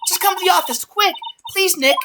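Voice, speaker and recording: A man voicing an excitable, girlish seventeen-year-old in a gushing, exclamatory manner for a game fandub. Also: very high voice, a little fast, pitched above the performer's own voice, heavily acted. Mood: urgent. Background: loud music.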